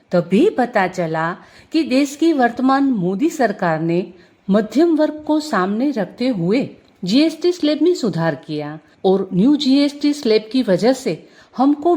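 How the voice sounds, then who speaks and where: A woman's voice: on the high side, darkish tone. A woman giving a formal, prepared customer testimonial.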